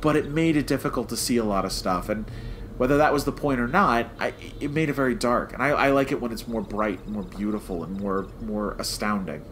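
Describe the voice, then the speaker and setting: Male podcast host, mid-pitched, emphatic conversational reviewer who repeats himself for stress; some background noise. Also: brisk, a bit bright.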